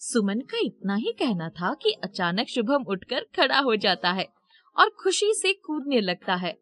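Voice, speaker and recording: A woman narrates a scripted story as its storyteller. Her pitch drops lower than in the acted dialogue, though it remains a female narrator's register. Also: big pitch swings, a little slow, fairly bright tone.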